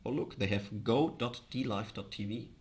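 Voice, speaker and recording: A man from Austria with an Austrian accent and German as his first language, chatting casually on a livestream in a hesitant, halting way. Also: lowish voice. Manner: hesitant, halting, chuckles often. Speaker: live streamer, host.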